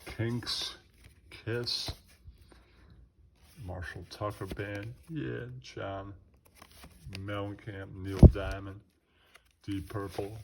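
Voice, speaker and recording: A man's voice: lowish voice, level tone, drawn-out words, vocal fry, pauses often, heavy background noise. A man rambling casually in a drawling, slangy show-and-tell, repeating his catchphrases. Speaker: vlogger showing off a record collection.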